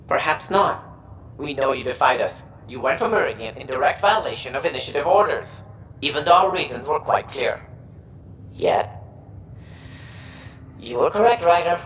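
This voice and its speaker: Formal, echoing alien official. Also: male voice, medium pitch, fairly bright tone, a little hiss or hum. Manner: formal, precise, stately. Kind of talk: video game dialogue acting. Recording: roomy echo with music underneath.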